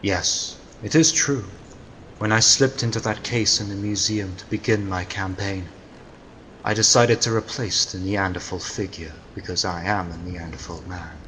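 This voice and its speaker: An actor playing a million-year-old immortal Neanderthal villain, theatrical and grandiose, in old-time radio drama style. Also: male voice, sits low, moderate pace, a bit bright, a little hiss or hum, low-quality recording. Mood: smug, taunting. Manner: theatrical, grandiose, menacing declamation.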